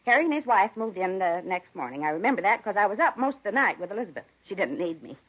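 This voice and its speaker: A woman's voice: mid-range voice, very animated, fast talker, slightly rough. An American woman acting a nosy, gossipy, indignant middle-aged neighbor who dotes on her cats, in a 1950s radio drama. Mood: chatty. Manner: chatty, indignant, rambling. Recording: dull 1950s radio broadcast recording.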